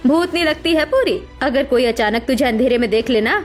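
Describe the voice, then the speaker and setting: A woman's voice: high voice, animated, fairly quick. A woman voicing a harsh, contemptuous middle-aged mother in a dramatic Hindi cartoon story. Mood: angry, contemptuous. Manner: scolding, contemptuous. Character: harsh, scornful middle-aged mother berating her daughter. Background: music.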